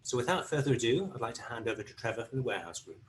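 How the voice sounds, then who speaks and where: A man presenting a webinar, giving a steady, explanatory product overview. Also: medium pitch, very fast.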